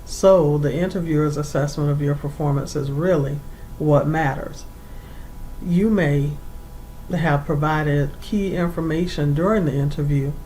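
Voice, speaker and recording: Career coach for an advice segment: medium pitch, formal and deliberate, semi-scripted instruction, slight rumbling background noise. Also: darkish tone, poor audio.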